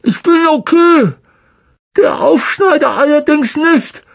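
A man reading an audiobook voices a frightened young schoolboy calling out, with a high, strained voice. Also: animated, slow, vocal fry, full character voice. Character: frightened but brave young schoolboy wizard, the friend.

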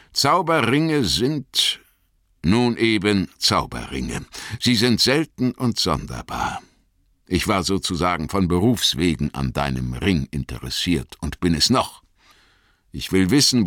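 A man voicing a grave old wizard in an audiobook, stern and patient, with a deliberate, authoritative manner. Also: sits low, a bit bright, no echo, clean studio sound, voice pushed up, heavily acted.

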